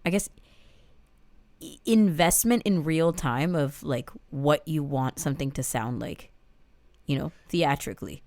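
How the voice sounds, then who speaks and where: A woman co-hosting a podcast, discussing things casually in a reflective, analytical and measured way. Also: deep voice, darkish tone, no echo. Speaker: podcast co-host.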